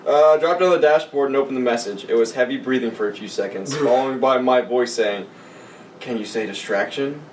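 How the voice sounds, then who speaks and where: A man's voice: mid-range voice, brisk, reverberant. A man co-hosting a podcast, switching between reading a story aloud and mocking, casual banter. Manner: animated, mocking, sarcastic. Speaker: podcast co-host. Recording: distant mic, faint room noise.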